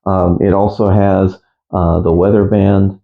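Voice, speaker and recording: Deep-voiced man, halting and matter-of-fact. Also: little pitch movement, vocal fry, phone-quality sound. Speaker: amateur radio hobbyist presenter. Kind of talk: casual gear review.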